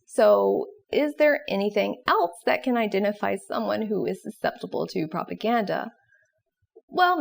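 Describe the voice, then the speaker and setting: Animated, creaky-voiced woman presenter. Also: mid-range voice. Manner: expressive, questioning. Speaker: presenter of educational psychology videos. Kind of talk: scripted explanatory narration with casual asides.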